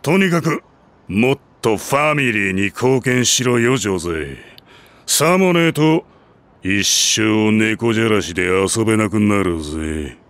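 A slow, low-voiced menacing tomcat gangster. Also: male voice, expressive, a bit bright, no echo. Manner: drawling, intimidating gangster talk. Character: menacing tuxedo tomcat gang boss, gruff middle-aged bully. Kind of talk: Japanese voice drama acting.

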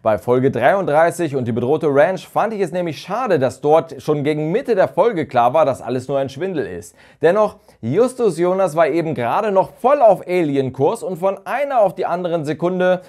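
A man in his thirties presents a review from a room at home. His voice sits a little high and moves with lively melody, as he explains and gives his opinions in a semi-scripted commentary. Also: moderate pace, hardly any pauses. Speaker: video reviewer, channel host. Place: small furnished room at home, indoors. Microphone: lapel mic.

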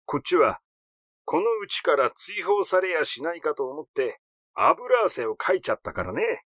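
A man voicing a flippant, cynical amateur sleuth in a story reading, rough and gleefully boastful in his talk. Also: a little high, very animated, normal speed, a bit bright, no echo, studio quality, voice pushed up. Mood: gleeful, scornful.

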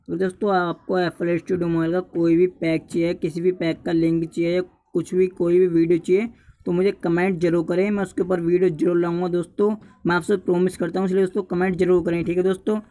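A man's voice: high-pitched, level tone, fairly quick, mellow. A young man narrating a casual tutorial, repeatedly urging and addressing his viewers as friends. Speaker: tutorial YouTuber.

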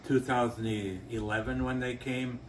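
A middle-aged farmer speaks in a grateful, steady way, his pitch staying flat and level throughout. Faint noise sits behind him. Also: normal speed. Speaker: farmer and volunteer. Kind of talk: interview answers.

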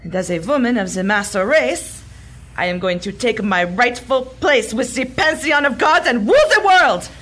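An actress playing a grandiose, imperious Nazi villainess sorceress in a pulp radio drama. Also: female voice, fairly high pitch, expressive, normal speed. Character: megalomaniac Nazi villainess sorceress chanting to Egyptian gods. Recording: loud music under the voice.

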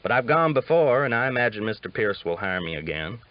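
A man's voice: medium pitch, very animated, fairly quick, lightly acted. Man playing an easygoing, polite cowhand. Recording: dull lo-fi audiobook recording.

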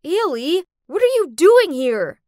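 A woman's voice: mid-range voice, moderate pace, no echo, studio quality, lightly acted. A woman storyteller voicing an earnest, blunt, easily exasperated college-age man in a dramatic story. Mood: shocked.

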